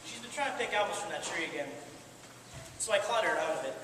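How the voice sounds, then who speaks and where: A woman's voice: fairly low pitch, animated, fast talker, close to an everyday voice. A woman on stage playing a grumpy, exasperated young Adam, speaking in an indignant, pompous, theatrical way. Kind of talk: stage play dialogue, theatrical delivery. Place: amateur stage performance in a large hall. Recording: echoey hall from far off, noisy.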